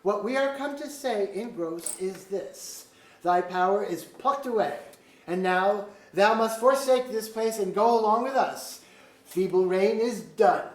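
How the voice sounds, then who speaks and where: A woman's voice: medium pitch, a little slow, close to an everyday voice. A woman acting an ambitious, imperious noble lord in a staged play reading, with commanding, formal archaic speech. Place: museum auditorium. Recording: echoey auditorium.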